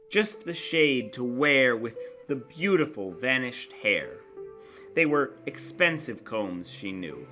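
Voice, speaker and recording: Animated male narrator with a bright voice. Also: on the high side. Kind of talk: dramatic literary story reading.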